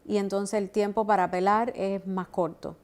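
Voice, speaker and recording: A woman's voice: mid-range voice, little pitch movement, moderate pace, a little mellow. A woman immigration lawyer explaining legal options in an interview, often affirming with short agreements. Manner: explanatory, affirming with repeated "exacto". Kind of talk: expert interview.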